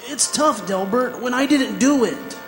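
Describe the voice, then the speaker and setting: A man's voice: very high-pitched, lively intonation, a little slow. A man playing a condemned prisoner in a radio drama, talking in a folksy, colloquial way. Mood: anxious, pleading. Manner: folksy, colloquial, addressing the listener. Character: condemned male prisoner, folksy narrator, anxious and pleading. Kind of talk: radio drama acting, conversational storytelling. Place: performing arts center stage. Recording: echoey stage hall with long reverb, music.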